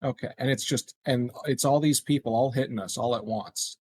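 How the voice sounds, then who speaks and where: A man's voice: mid-range voice, normal speed, a little mellow, slightly rough, dry recording. A man playing a tabletop roleplaying game, casually and tentatively feeling his way through questions and actions. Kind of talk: casual improvised game discussion.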